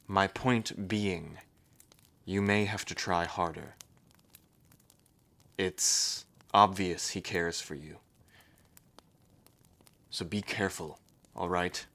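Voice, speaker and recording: A man voicing a measured, cautioning dragon lieutenant in an audio roleplay. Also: deep voice, fairly bright tone, heavily acted. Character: calm old male dragon lieutenant, wry teacher. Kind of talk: ASMR roleplay.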